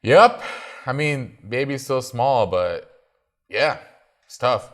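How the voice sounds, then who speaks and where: A man co-hosting a relaxed conversation. He is laid-back and casual, with slang and the odd swear. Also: medium pitch, slow. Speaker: co-host. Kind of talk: casual chat.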